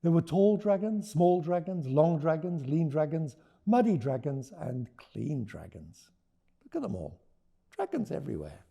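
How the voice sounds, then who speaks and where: Mellow, slow-paced man reading a storybook. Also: on the high side, sing-song, creaky, highs cut off. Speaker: children's author reading his book aloud. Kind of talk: expressive storybook read-aloud with teaching notes.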